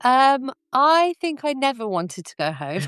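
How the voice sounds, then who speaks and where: A woman's voice: high voice, sing-song, a little slow, a bit bright, clear, resonant tone, no echo, clean studio sound, soft background music. A British woman telling her story casually as a podcast guest. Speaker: podcast guest, business owner.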